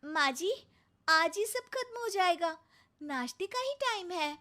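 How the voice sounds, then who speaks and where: A woman's voice: very high voice, animated, measured pace, full character voice. A young woman voicing a polite, dutiful daughter-in-law in a cartoon, speaking earnestly. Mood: urging. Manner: earnest, gently pleading.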